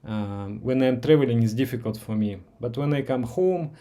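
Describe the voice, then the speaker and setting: A man in at least his forties with a Russian accent talks slowly and softly as a meditation teacher. His voice is warm and mellow, and he pauses often, repeating words and searching for them in English. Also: medium pitch. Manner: slow, pausing, gentle, with many "uh"s and repeats. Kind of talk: live Q&A session with viewers.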